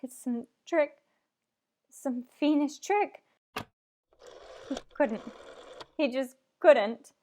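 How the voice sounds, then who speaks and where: A woman's voice: high voice, extremely slow, long pauses, mellow, a little hiss or hum. A woman playing a frantic, demanding married woman, overwrought and melodramatic in a retro radio thriller style. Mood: disbelieving.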